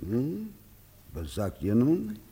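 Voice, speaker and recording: An actor in a radio play playing a sly, eloquent accuser who declaims with theatrical outrage. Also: male voice, mid-range voice, huge pitch swings, takes it slowly, heavily acted. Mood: smug. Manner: oratorical, swooping from hushed asides to loud declamation. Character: sly, eloquent male accuser feigning righteous outrage in court. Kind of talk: theatrical classic German radio play acting. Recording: reverberant hall.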